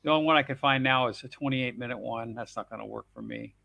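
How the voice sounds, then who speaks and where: A man's voice: mid-range voice, fast talker, vocal fry, bright, forceful tone, no echo, highs cut off. A man chatting casually on a live stream panel, rambling loosely and cursing offhandedly. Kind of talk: casual chat.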